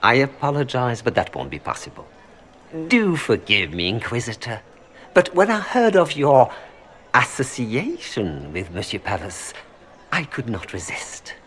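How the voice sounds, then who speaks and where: An actor playing a suave, calculating merchant in a video game, speaking formally with a French accent. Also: male voice, medium pitch, huge pitch swings, unhurried, fairly bright tone. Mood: smooth bargaining. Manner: courtly, formal, smoothly unapologetic. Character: suave, calculating Orlesian merchant seeking noble influence. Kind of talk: video game dialogue acting.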